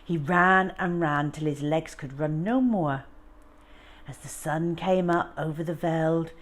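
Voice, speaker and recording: A woman reading a children's story aloud, moving between informal chat and expressive narration as a storytime host. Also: low voice, unhurried.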